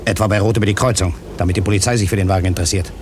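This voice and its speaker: A man's voice: lowish voice, steady tone, very fast, darkish tone, a little hiss or hum, close to an everyday voice. An actor playing a cold-blooded, mocking gangland hitman in a crime radio play, curt and menacing. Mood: cold menace.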